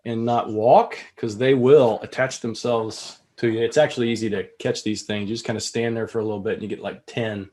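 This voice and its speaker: A man's voice: on the low side, fairly even intonation, brisk, few pauses, darkish tone. A man co-hosts a podcast in loose, rambling conversation. He talks with self-deprecating humor and plenty of fillers. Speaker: co-host. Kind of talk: casual podcast chat.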